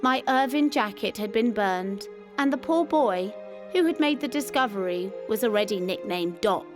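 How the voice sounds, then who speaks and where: A woman's voice: medium pitch, animated, moderate pace. A woman reading a scripted first-person wartime memoir as a narrator. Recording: music behind the voice. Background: music.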